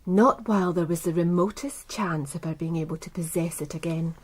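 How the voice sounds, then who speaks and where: A composed, efficient woman in a radio mystery. Her voice sits fairly low, and she speaks with cool, guarded formality. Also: brisk, slightly rough. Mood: certain. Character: cool, efficient, composed businesswoman under questioning. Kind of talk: British radio crime drama acting.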